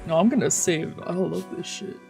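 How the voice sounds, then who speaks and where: A woman streamer reading a visual novel aloud with casual, excitable, sweary reactions. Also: on the low side, normal speed, vocal fry, faint music behind. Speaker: let's play streamer.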